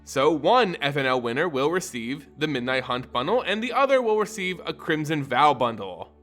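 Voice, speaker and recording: Bright, animated male narrator over faint music. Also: on the high side, moderate pace. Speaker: host of a fantasy lore channel. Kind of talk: scripted narration and commentary.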